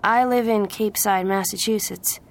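Woman reading as an earnest young man, slightly creaky. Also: mid-range voice, steady tone, moderate pace, natural voice. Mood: earnest, smitten. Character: earnest young man, plain-spoken and smitten. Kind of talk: audiobook character voice.

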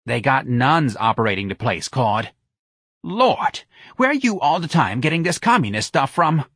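A man voicing a bossy, sharp-tongued working-class woman in an audiobook, pushy and emphatic. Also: medium pitch, big pitch swings, normal speed, breathy edge, no echo, studio quality, voice pushed up, full character voice. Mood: scolding, eager. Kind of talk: audiobook character dialogue.

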